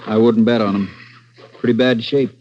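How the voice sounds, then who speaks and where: An actor playing a weary police doctor at a crime scene, speaking in a gruff, matter-of-fact way, in 1940s radio acting style. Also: male voice, mid-range voice, fairly even intonation, normal speed, a little mellow, slight background noise, natural voice. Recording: dull old radio broadcast.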